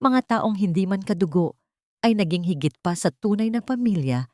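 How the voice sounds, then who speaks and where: A woman storyteller reading a scripted romantic drama in a measured, literary manner. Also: mid-range voice, expressive, warm tone, no room sound, clean studio sound.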